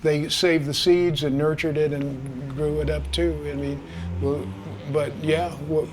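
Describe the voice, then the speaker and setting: A man's voice: fairly high pitch, little pitch movement, unhurried, slight background noise. A man, an author at a reading, answering audience questions in a casual way, stammering and repeating words as he searches for his thoughts. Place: community room.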